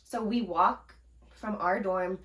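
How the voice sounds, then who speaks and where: A young woman with a bright, forceful voice tells a story on a casual podcast. She is animated, acts out what people said, and laughs often. The room around her sounds echoey. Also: mid-range voice, slow pace. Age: young adult. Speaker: podcast co-host.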